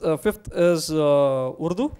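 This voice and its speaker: A young man with an Indian English accent talks as a studio guest, thinking aloud with frequent pauses and fillers. His voice sits a little high for a man. He sounds close-miked in a quiet studio. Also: expressive, smooth. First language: Kannada. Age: young adult. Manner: hesitant, thinks aloud with many uh's. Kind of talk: casual studio chat. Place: TV studio set, seated on sofa.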